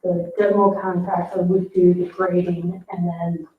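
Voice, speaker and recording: A woman with a lowish, level voice explains details at a public meeting in a halting, measured way. She is picked up from across an echoey room. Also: flat delivery. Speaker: staff member briefing a board. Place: indoor town board meeting room. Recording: roomy, distant, muffled meeting-room microphone with noise.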